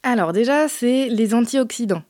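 A woman's voice: fairly high pitch, lively intonation, normal speed, a little mellow, no echo. Reassuring woman, dry close sound. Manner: friendly, reassuring, explains patiently. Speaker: naturopath guest. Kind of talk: casual explanatory chat.

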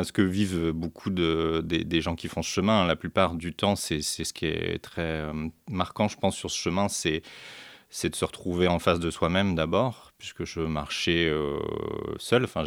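Deep-voiced man, hesitant radio guest. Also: steady tone, moderate pace, no echo, studio quality. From central France, Orléans area. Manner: hesitant, many fillers and restarts. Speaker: guest, beekeeper and deacon. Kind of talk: radio interview.

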